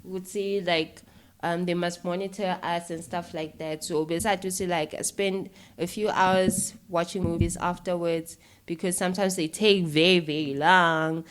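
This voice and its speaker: A woman with a South African accent chatting casually and telling stories as an interview guest, in an informal, animated manner. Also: fairly low pitch, unhurried. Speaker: interview guest, actress and filmmaker. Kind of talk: casual conversational storytelling.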